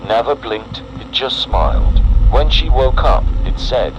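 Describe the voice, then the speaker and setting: A man narrating a scripted horror emergency broadcast in a solemn, deliberate, ominous way. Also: medium pitch, lively intonation, highs cut off, noisy background.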